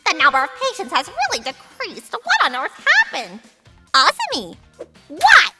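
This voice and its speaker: A woman playing a haughty, callous, easily flustered middle-aged aunt, the villain of a manga dub, in a sharp, melodramatic manner. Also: very high-pitched, wildly swooping pitch, normal speed, faint music behind, exaggerated voice. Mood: alarmed. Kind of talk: anime-style manga dub acting.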